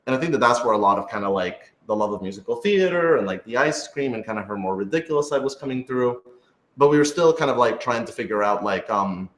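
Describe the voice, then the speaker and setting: A man's voice: medium pitch, animated, fast talker, fairly bright tone. A man chatting casually on a question-and-answer panel as an audio drama's writer, rambling as he thinks aloud. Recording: roomy, echoey room sound.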